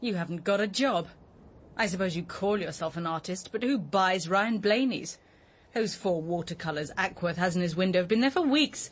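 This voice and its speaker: A woman's voice: fairly low pitch, expressive, moderate pace. An actress playing a hard, unyielding British landlady in a radio drama, speaking in a clipped, formal, disapproving way. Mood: cold, scornful.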